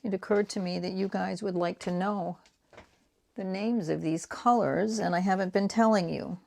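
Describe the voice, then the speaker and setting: A woman in her sixties with a light Boston accent, chatting casually and rambling as she makes art prints, dropping into soft whispered asides while she works. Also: mid-range voice, steady tone, moderate pace, vocal fry. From New England, United States. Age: 60s. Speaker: artist and craft vlogger.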